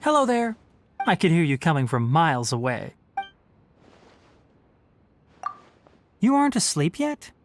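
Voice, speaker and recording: A young fox-eared forest ranger from a game, pitched low and swinging expressively through each phrase. The delivery is scholarly and articulate, like a teacher with a dry, teasing streak, over slight background noise. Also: female voice, sing-song, brisk. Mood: bright, wry. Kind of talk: scripted game character voice lines.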